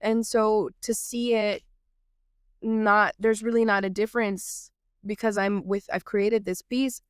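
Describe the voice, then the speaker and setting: A woman's voice: mid-range voice, flat delivery, measured pace, clean tone, no echo, clean studio sound. A woman from Texas hosting her own podcast, sounding nasal from a cold and talking in an earnest, reflective way with loose, improvised phrasing. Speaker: podcast host.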